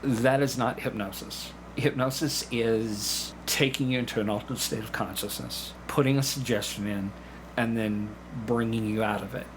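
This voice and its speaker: A man's voice: mid-range voice, steady tone, normal speed, background noise. A man being interviewed as a guest, explaining his work conversationally with illustrative examples. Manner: explanatory, acts out examples in quoted dialogue. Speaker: podcast guest, clinical hypnotherapist and community actor.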